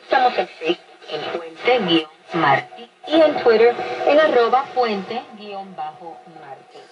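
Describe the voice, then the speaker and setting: A woman's voice: mid-range voice, very animated, normal speed. A woman radio announcer making a broadcast announcement, heard through a radio speaker. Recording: dull lo-fi shortwave broadcast from speaker.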